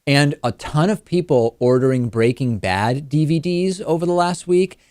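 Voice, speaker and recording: A man's voice: a little high, animated, moderate pace, darkish tone, dry recording, clean studio sound. A man hosting a talk show, presenting in a conversational way and leaning on key phrases for emphasis. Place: studio.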